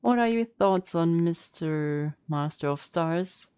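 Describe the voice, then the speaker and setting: Mellow, lowish-voiced woman streamer. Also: slow, smooth, no echo. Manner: wry, sceptical. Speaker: streamer playing and reacting to a game. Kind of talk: casual reaction commentary.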